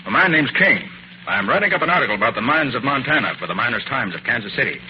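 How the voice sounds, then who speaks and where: An actor playing a confident, unflappable master detective in an old-time radio drama, brisk, clipped and self-assured. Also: male voice, mid-range voice, sing-song, close to an everyday voice. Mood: casual, assured. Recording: noisy, muffled old radio recording.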